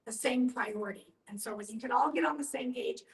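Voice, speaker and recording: A woman's voice: on the high side, brisk. A woman giving conversational advice in a group discussion, explaining in an emphatic, organized way. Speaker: discussion participant. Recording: echoey room, distant from mic.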